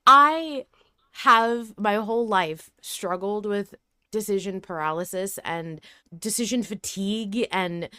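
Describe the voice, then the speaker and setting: A woman hosting a casual podcast discussion, talking in an animated way with emphatic repetition and self-interruption. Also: medium pitch, takes it slowly, smooth, dry recording. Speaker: podcast host.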